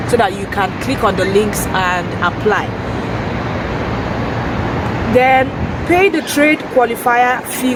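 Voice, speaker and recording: A woman vlogging outdoors on a city street, hoarse from a sore throat, explaining things earnestly with fillers and restarts. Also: on the high side. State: hoarse, losing her voice. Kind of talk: casual informational vlog. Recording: noisy street recording, distant from mic. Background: traffic and urban ambience.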